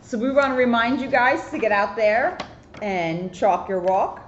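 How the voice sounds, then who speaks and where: A woman's voice: a little high, a little slow. A woman police officer reading a picture book aloud expressively, with chatty, casual asides. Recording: roomy echo, muffled, faint noise.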